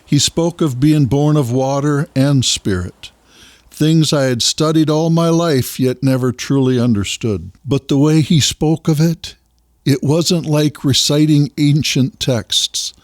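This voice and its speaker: Grave, gentle scholar Nicodemus played by an actor. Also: male voice, fairly high pitch, measured pace, no room sound, clean studio sound, natural voice. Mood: reflective. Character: Nicodemus, gentle reflective male Sanhedrin scholar. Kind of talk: audio drama acting.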